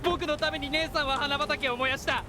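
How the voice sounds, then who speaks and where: A man's voice: very high voice, fairly even intonation, fairly quick, over music. A man acting in a Japanese game dub as a grief-stricken, guilt-ridden younger brother. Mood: anguished regret.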